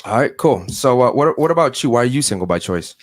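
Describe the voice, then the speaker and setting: A man hosting a podcast panel in a casual, laid-back way, often saying "man" and giving shout-outs. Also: medium pitch, expressive, fast talker, no room sound, studio quality. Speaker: podcast host.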